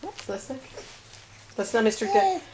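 A woman's voice: on the high side, big pitch swings, normal speed, a little mellow, a little hiss or hum. An older woman playing with a baby grandchild at home, cooing and chanting sing-song baby talk. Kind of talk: baby talk and singsong play. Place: bedroom in a home. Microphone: handheld home camera held by someone else.

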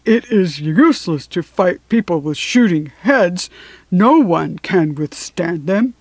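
A woman's voice: fairly low pitch, animated, slow, a little mellow, no room sound, full character voice. A woman voicing a proud, brave lion in a storybook, speaking solemnly as king of the beasts. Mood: weary, solemn.

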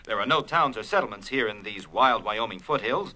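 An actor playing an urgent television news reporter delivering a breaking report in an audio drama. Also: male voice, on the low side, expressive, brisk, sharp tone, heavily acted.

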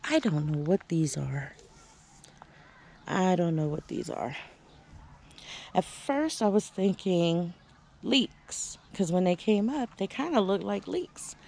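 A woman's voice: fairly low pitch, very animated, slow, creaky, pauses often, mellow, slight background noise. A woman giving a casual, chatty tour of her backyard garden, talking from the camera as she walks, with easy fillers and restarts.

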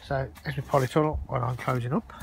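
A man's voice: medium pitch, steady tone, fast talker, gravelly. Chatty man, noisy outdoor recording. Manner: chatty, informal, rambling. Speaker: allotment vlogger. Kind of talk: casual walk-around vlog narration. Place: outdoors on an allotment, walking. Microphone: handheld phone held while filming.

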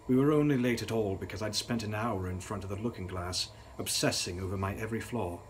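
A young man giving a measured, theatrical reading of gothic fiction as its author. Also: lowish voice, a little hiss or hum. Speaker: author reading own novel. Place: dark indoor room, on camera.

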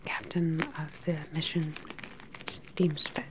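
A woman in her thirties playing a calm, sinister scientist in an ASMR sci-fi roleplay, whispering softly, close to the mic, with a clinical, condescending manner. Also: low voice, background noise. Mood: clinical, controlled. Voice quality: soft, breathy whisper. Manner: unhurried, clinical, quietly condescending. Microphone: close microphone, seated facing the camera.

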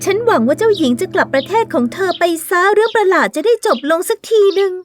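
A woman voicing a sweet, gentle, anxious young princess who pleads softly in a children's fairy tale cartoon. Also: very high-pitched, lively intonation, quick pace, soft background music. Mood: worried.

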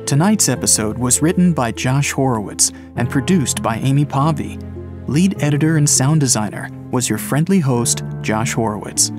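A man's voice: medium pitch, lively intonation, normal speed. Male podcast host over music. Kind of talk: scripted credits read.

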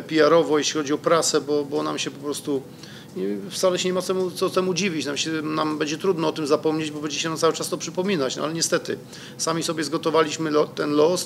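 Gravelly middle-aged coach at a press table. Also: male voice, fairly high pitch, a little hiss or hum. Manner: hesitant, many fillers and restarts. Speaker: football coach. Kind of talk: press conference answers. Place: press room in front of a sponsor wall. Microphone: desk microphone.

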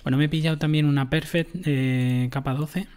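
A man's voice: on the high side, steady tone, fairly quick, a little mellow, soft background music. A man giving casual commentary on a game, explaining items to his viewers. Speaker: gaming streamer.

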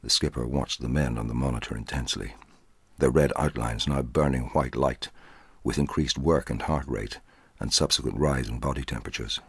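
A middle-aged man reading a short story aloud in a measured, dramatic way, with a husky voice. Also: a bass voice, fairly bright tone, no echo, studio quality. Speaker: audiobook narrator.

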